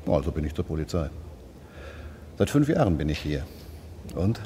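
An actor playing a matter-of-fact homicide detective of about forty, talking conversationally in a radio drama. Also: male voice, a bass voice, big pitch swings, moderate pace, mellow, a little hiss or hum, full character voice. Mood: affable.

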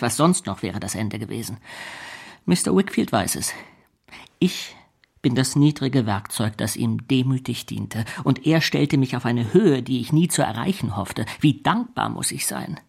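A scheming clerk with a creaky, husky male voice. He oozes false humility and servile gratitude, gushing obsequiously in the manner of literary radio drama. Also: mid-range voice, animated, unhurried, exaggerated voice. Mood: sly false humility.

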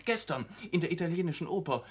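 An actor playing a worldly, cynical journalist in a German radio drama, urbane, eloquent and teasing. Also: male voice, on the high side, lively intonation, fast, a little hiss or hum, natural voice. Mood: smooth, teasing. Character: suave, mocking male journalist, worldly and cynical man-about-town.

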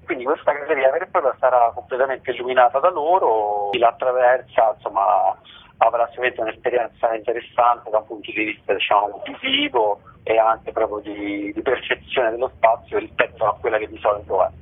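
A man's voice: medium pitch, lively intonation, brisk, slightly rough. A man explaining things informally and discursively in a radio interview as a festival organiser. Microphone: telephone. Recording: muffled, narrow-band telephone line.

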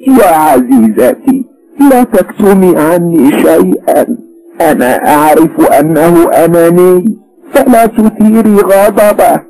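A man voicing an anguished older mother in a high wailing falsetto, scolding and prying. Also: sing-song, takes it slowly, darkish tone, heavily acted, low-quality recording. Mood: lecturing.

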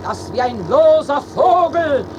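A dismayed young daughter in an ancient comedy radio play. Her very high, lilting voice declaims slowly and solemnly in mock-tragic style, with clear noise behind it. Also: animated.

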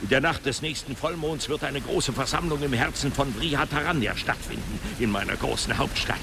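Male actor as imposing beast king for fantasy audio drama, creaky voice, loud cricket-filled ambience. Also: medium pitch, fairly quick, background noise, close to an everyday voice. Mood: proud, grave. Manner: weighty, regal. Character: monkey-folk king, imposing and warlike. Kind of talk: German fantasy radio drama acting. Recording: loud insect and jungle ambience.

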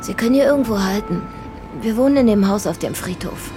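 A woman's voice: mid-range voice, lively intonation, fairly quick, music in the background, lightly acted. An actress playing a working-class rural mother in an audio drama, wary and coaxing, with intimate, naturalistic delivery. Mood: friendly.

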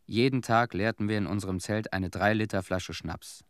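Actor as low-voiced worn-out guy, bitter, telling it in short sober bits, radio play, really dry studio sound. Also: normal speed, clean tone, no echo, close to an everyday voice. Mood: bleak, matter-of-fact. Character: weary, bitter male former Chernobyl cleanup worker recalling horrors. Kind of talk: German radio play monologue.

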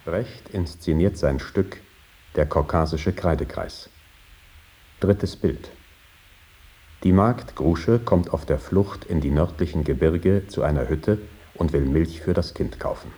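Very deep, dark-voiced male announcer. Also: takes it slowly, breathy edge. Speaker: radio announcer. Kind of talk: formal scripted introduction.

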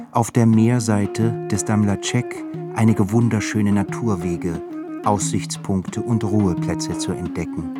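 A man performing as a measured, literary narrator in a scripted radio drama. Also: fairly low pitch, natural voice. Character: measured male narrator of an epic novel. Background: prominent music.

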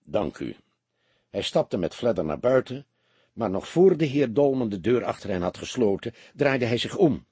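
Very husky male storyteller, expressive. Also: medium pitch, normal speed, dry recording. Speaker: audiobook narrator.

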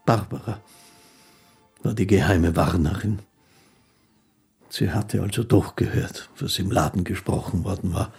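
An actor playing a meek, dreamy poor musician, telling his life haltingly and earnestly in a radio play. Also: sits low, vocal fry, a little mellow, breathy edge, faint music behind, exaggerated voice. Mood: reflective, quietly proud. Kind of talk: first-person radio play narration.

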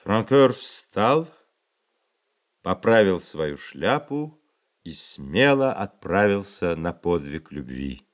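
A man as the narrator of a radio play, reading scripted stage action in a measured, expressive storytelling way. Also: sits low, full character voice.

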